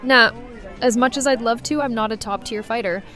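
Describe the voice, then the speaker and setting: A woman's voice: fairly high pitch, moderate pace, fairly bright tone, faint music behind, some chatter behind, natural voice. A woman playing a brash, affectionate, wisecracking best friend in a conversational audio drama. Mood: casual banter.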